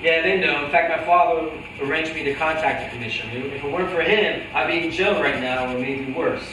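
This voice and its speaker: A man's voice: fairly high pitch, fairly quick, lightly acted. A man playing a tough, world-weary champion boxer, bitter and defiant, in a staged play reading. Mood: subdued. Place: large echoey room, live staged reading. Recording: very echoey room, distant mic, faint noise.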